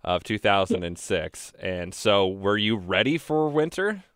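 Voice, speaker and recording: Calm male interviewer, smooth and resonant, dry close sound. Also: lowish voice, unhurried, dry recording. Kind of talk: interview questions.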